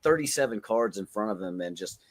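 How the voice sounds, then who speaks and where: Slangy, casual man with a slightly husky voice. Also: on the low side, expressive, brisk. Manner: slangy, profane. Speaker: podcast co-host. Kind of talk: casual banter.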